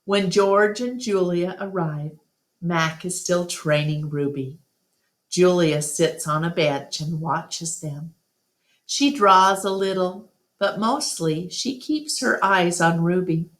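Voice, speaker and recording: Slow, low-voiced woman reading a story. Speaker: storyteller reading a children's novel aloud. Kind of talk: scripted storybook narration.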